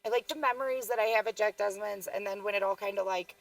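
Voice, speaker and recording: Gravelly Chicago woman telling bar stories. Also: medium pitch, fast talker, far from the mic, a loud crowd, rough recording. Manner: storytelling with acted-out quotes, swearing. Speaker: podcast guest. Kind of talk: casual chat.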